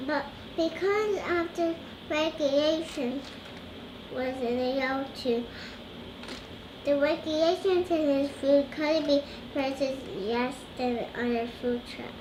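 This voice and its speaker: A young girl with a high voice reads a storybook aloud. She goes slowly and hesitantly, often stumbling and repeating phrases. The recording is roomy and echoing, with clear noise behind her. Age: child. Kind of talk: halting read-aloud from a storybook.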